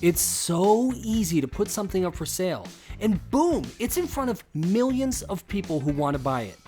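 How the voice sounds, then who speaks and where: A woman's voice: lowish voice, big pitch swings, normal speed, warm tone. A woman voicing an eerie female creature with eggs about to hatch, in a fake advert. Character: egg-laden female creature selling her brood. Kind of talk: audio drama fake advert. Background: music.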